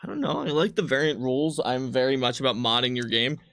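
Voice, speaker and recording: Male game designer, casual panel explainer, detailed and rambling, medium pitch, dry recording. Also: animated, quick pace, a bit bright, no room sound. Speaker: game designer answering viewer questions on a livestream. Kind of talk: casual panel chat about game rules.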